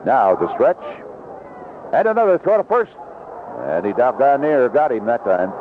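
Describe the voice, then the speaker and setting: A man's voice: mid-range voice, huge pitch swings, moderate pace. An American man calling baseball play-by-play on the radio in a folksy, descriptive manner. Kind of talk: live radio sports commentary. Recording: dull, noisy vintage radio broadcast.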